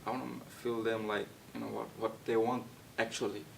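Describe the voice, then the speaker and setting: A young man from Nepal, a guest actor, answering interview questions in a halting way with many fillers and restarts. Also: flat delivery, gravelly. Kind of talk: casual interview. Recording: distant mic with faint rumble.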